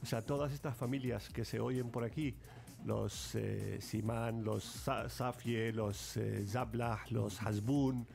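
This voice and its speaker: A man's voice: mid-range voice, slow pace, creaky, soft background music. Palestinian man. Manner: explains at length, steady and courteous, with small restarts. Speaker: ambassador, interview guest. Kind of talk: casual radio interview. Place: radio studio.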